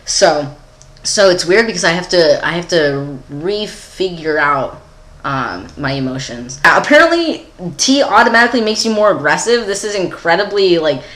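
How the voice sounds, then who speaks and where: A man's voice: sits high, sing-song, moderate pace, bright, forceful tone. A young adult man giving a casual, candid personal video update in a rambling, giggly, self-deprecating way. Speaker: vlogger.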